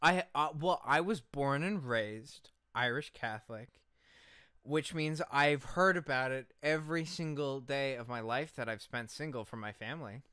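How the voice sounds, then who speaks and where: A man's voice: medium pitch, normal speed, bright, forceful tone, clear, resonant tone, no echo, clean studio sound. A man co-hosting a podcast with casual comedic banter, swearing freely and stressing his words like a rant. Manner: profane, emphatic, ranting delivery. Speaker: podcast co-host.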